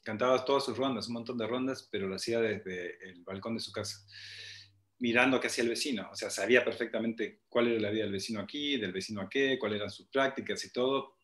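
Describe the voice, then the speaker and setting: A man's voice: lowish voice, fast, fairly bright tone. Man teaching a class over video call. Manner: explains with hand gestures, asks the group questions. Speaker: spiritual teacher. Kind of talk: online class reading and explaining scripture. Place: small room with plain wall, video call. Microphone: laptop webcam mic on a video call.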